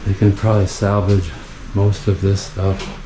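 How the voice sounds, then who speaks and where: A man's voice: deep voice, monotone. A man giving casual, offhand exploring commentary to himself as he walks through an abandoned indoor space. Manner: unhurried offhand remarks to himself. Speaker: urban explorer vlogger. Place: dark indoor basement and kitchen of abandoned plaza. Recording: faint background noise and room ambience.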